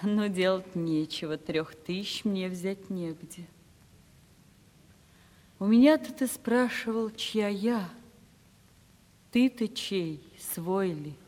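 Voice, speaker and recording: An actress playing a poor young woman in love, resigned, tender and quietly firm, in a 1970s Russian radio play. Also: medium pitch, slow pace, long pauses, natural voice. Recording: reverberant studio radio play recording.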